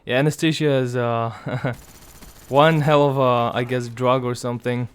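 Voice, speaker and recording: A young man speaking quietly and weakly in casual gameplay commentary, his voice raspy and strained after throat surgery, pausing often. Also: medium pitch, steady tone. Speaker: gaming commentator.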